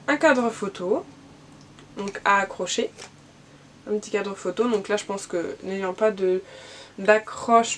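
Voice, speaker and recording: A woman's voice: medium pitch, a little hiss or hum. Chatty young woman with a cold. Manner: chatty, many fillers and restarts. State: has a cold. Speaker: vlogger. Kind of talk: casual gift haul vlog.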